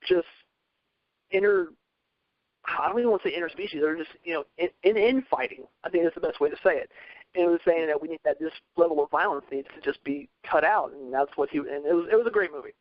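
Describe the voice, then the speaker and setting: Higher-voiced man, rambling earnestly, muffled audio. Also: fast talker, no room sound. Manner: rambling, thinks out loud, earnest. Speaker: podcast panelist. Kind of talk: casual discussion. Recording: dull, radio-like lo-fi audio.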